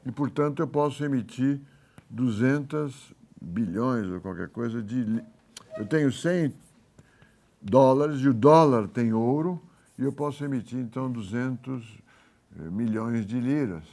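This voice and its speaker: A man with a Brazilian accent giving a university economics lecture, informal and halting, thinking aloud and correcting himself as he works through examples. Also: mid-range voice, mellow. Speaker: economics professor.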